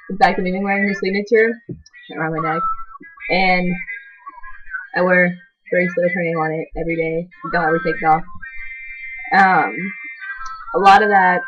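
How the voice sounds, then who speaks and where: Teenage girl, low-ish voice, rambling chatty vlog, dull lo-fi audio, faint music. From half Chilean, half American. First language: Spanish. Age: teens. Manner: chatty, rambling, constant "like" filler. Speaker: channel co-host introducing herself. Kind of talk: casual talking-to-camera vlog.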